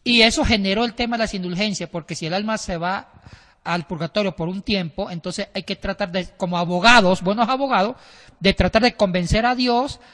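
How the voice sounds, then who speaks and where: A man's voice: high voice, fairly quick, a bit bright. A man explaining church history in a casual discussion, often restarting his sentences. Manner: explanatory, with frequent restarts and hesitations. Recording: long reverb.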